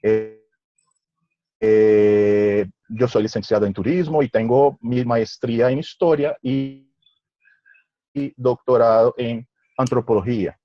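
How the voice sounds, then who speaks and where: A man's voice: mid-range voice, takes it slowly, pauses often, dry recording. A man from Brazil with a Portuguese accent, speaking hesitantly as a guest lecturer over a video call on a headset microphone. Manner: hesitant, stumbling over words. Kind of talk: video conference talk. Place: room in a house. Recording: muffled, choppy internet call audio.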